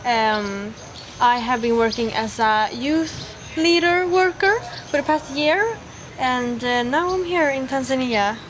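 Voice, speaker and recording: A woman's voice: sits high, measured pace, a little hiss or hum. A woman from Sweden, a youth worker, gives friendly and slightly hesitant answers as an interview guest.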